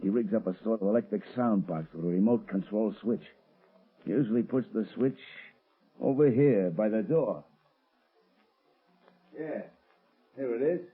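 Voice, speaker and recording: A man's voice: lowish voice, normal speed, long pauses, husky. An actor playing a menacing, mocking crook with sneering, slangy tough-guy talk in a 1930s radio crime drama. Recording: muffled, tinny old radio recording.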